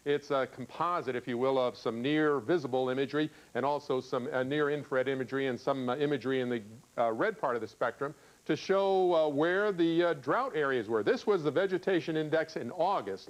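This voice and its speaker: A man with an American accent giving an ad-libbed, conversational TV weather report, explaining satellite maps with emphasis. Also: on the high side. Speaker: TV weather forecaster.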